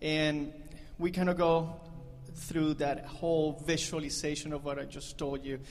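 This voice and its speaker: A man's voice: on the high side, flat delivery, a little slow. A man leading a band, chatting and joking with the audience between songs on a concert stage. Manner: joking, chatty, laughs at own jokes. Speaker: band leader. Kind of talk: onstage banter between songs. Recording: live concert hall reverb.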